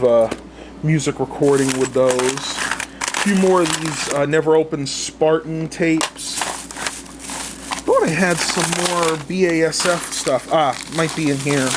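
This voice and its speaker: A man's voice: fairly high pitch, very noisy. A man chatting casually and unscripted to viewers, thinking aloud in colloquial language as he shows things at home. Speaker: vlogger showing a haul of vintage cassette tapes. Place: indoors at home.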